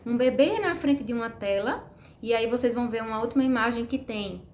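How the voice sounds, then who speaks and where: A woman, a speech therapist explaining to parents in a didactic way, often checking in with "tá?" and slowing down to stress words. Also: fairly high pitch, a little mellow, slight background noise. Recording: echoey room.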